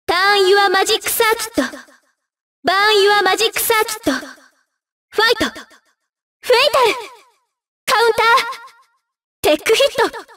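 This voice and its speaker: Very high-pitched anime fighting game girl announcer. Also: a little slow, long pauses, fairly bright tone, far from the mic. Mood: emphatic. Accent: Japanese. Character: young woman church fighter announcing matches.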